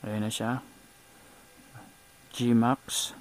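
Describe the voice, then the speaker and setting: A man's voice: mid-range voice, level tone, extremely slow, slight background noise, rough recording. A Filipino man giving a casual, chatty product demo in vlog style, mixing in English words. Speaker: vlogger reviewing motorcycle gear.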